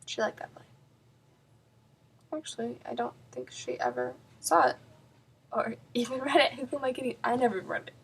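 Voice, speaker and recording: A woman's voice: on the high side, very animated, breathy edge, heavily acted. A woman performing a comedic stage monologue as a flustered, wryly self-deprecating grieving daughter, with dramatic swells and drops in her delivery. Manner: dramatic, halting emphasis with big swells and drops. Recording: distant mic.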